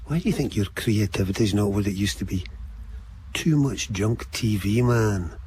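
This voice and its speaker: A man's voice: on the low side, moderate pace, a little mellow, close to an everyday voice. A male actor plays a man's cheeky inner voice for a radio drama. He banters and needles like an over-familiar companion, dry and sardonic. A faint layer of noise sits behind him. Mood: wry teasing.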